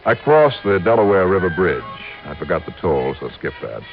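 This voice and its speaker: 1950s radio investigator: dogged, wry American, lively inflection, music behind, dull vintage sound. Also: male voice, low-pitched, a little fast. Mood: wry, brisk. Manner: clipped, punchy, wry delivery. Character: wry, dogged male freelance insurance investigator. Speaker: lead actor and narrator. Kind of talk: 1950s radio detective drama acting, first-person narration.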